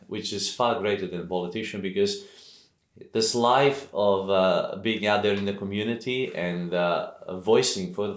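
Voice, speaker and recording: A man hosting a conversational interview, speaking hesitantly with fillers. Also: on the low side. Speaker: podcast host. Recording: echoey room.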